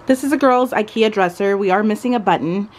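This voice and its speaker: A woman's voice: medium pitch, fairly quick, a little hiss or hum. Chatty, enthusiastic woman vlogging. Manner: enthusiastic, gushing. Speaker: lifestyle vlogger. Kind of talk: casual chatty vlog. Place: furnished bedroom at home, indoors.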